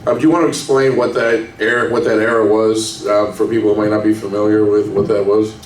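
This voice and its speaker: A man hosting a convention panel Q&A, asking questions in a relaxed way with many fillers. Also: fairly low pitch, quick pace. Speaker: Q&A host. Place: convention hall stage. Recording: echoey hall from far off with faint noise.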